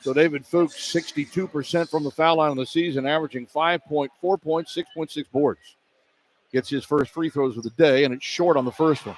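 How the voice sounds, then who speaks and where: A man's voice: fairly high pitch, a little fast. A man calling live basketball play-by-play for radio, in clipped running descriptions that turn emphatic on big plays. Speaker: play-by-play announcer. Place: college basketball arena. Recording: arena noise behind live broadcast. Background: arena ambience.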